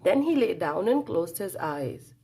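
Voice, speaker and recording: A woman's voice: on the low side, big pitch swings, measured pace, mellow, slight background noise. A woman reading a children's storybook aloud expressively, engaging young listeners with questions and a dramatic telling.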